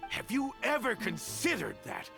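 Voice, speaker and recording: A vengeful game villain, with creaky, gravelly edges and a pitch that swings widely. He is bitter and accusing, delivering every grudge theatrically over background music. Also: wildly swooping pitch, normal speed, bright, forceful tone, full character voice. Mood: scornful. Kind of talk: dramatic video game voice acting.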